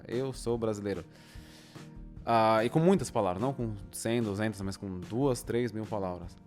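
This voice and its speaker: A Brazilian man as an interview guest, speaking slowly and thoughtfully with many pauses. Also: fairly low pitch, a little mellow. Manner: thoughtful, deliberately slow, with pauses. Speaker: guest, polyglot. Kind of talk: casual interview.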